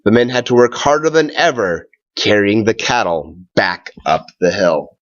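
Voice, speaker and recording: A man reading a children's tall tale aloud, with expressive, deliberate phrasing, drawn-out words and pauses. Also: lowish voice, lo-fi sound.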